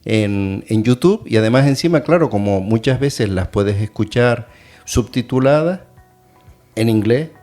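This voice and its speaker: A man's voice: mid-range voice, lively intonation, unhurried, a little mellow, faint music behind. A middle-aged man hosting an educational radio chat, talking in a hesitant, thoughtful way with many fillers. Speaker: radio host and adult education teacher.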